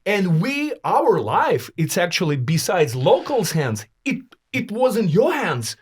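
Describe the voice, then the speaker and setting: Animated higher-voiced man, non-native English speaker. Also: unhurried, breathy edge. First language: not English. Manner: emphatic, dramatic storytelling. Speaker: podcast host. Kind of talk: casual, profane podcast chat.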